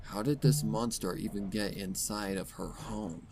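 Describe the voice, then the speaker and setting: A man's voice: fairly low pitch, a little slow, heavy background noise. A man narrating a horror story in a dramatic, scripted reading style.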